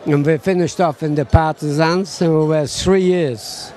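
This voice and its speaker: A man's voice: on the high side, steady tone, unhurried, warm tone. A man, giving short, plain answers in an outdoor interview. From Poland. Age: 80s.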